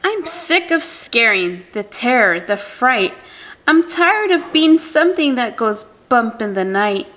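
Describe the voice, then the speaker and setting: Casting note: restless, world-weary skeleton man for a storybook, read by a woman, high voice, slow theatrical delivery. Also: sing-song, fairly bright tone, heavily acted. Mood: weary, fed up. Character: bored, weary male skeleton yearning for something new.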